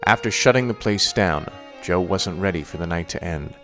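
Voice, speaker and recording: A man's voice: deep voice, normal speed, a bit bright, faint music behind. A man playing the grave narrator of a radio crime play.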